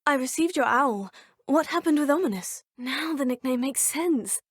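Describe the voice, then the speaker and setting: Young British witch, polite with a dry wit, slightly high and husky, close dry studio sound. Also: expressive, normal speed, no room sound. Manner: polite, dry-witted, quietly wry. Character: composed, curious young witch student, female. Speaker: player character. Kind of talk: video game voice lines.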